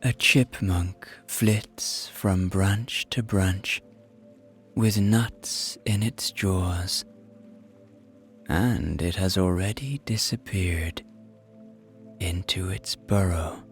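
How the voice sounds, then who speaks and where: A man narrating a scripted guided meditation in a soothing, hushed, gentle manner. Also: sits low, extremely slow, soft background music.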